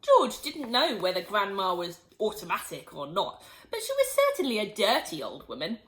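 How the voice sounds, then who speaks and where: Lively woman reading a storybook. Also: mid-range voice, normal speed, rough recording. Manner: expressive, animated reading. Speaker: teacher reading a children's book. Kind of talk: storybook read-aloud.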